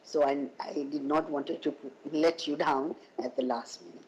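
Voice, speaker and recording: A woman with a husky voice, hoarse from a cold, giving a halting academic keynote over an online call. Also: sits low, steady tone, darkish tone, slight background noise, rough recording. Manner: halting, with many fillers and restarts. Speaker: keynote speaker.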